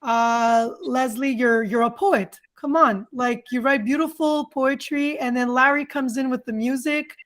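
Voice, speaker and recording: High-pitched, effusive woman hosting a chat. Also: unhurried, hardly any pauses. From Canada. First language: Greek. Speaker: show host. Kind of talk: casual interview chat.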